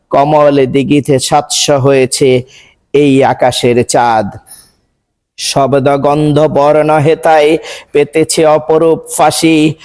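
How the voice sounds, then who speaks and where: A man reciting a poem in a measured, rhythmic, declamatory way. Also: on the high side, darkish tone. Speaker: poetry reciter. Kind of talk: dramatic recitation of a Bengali poem.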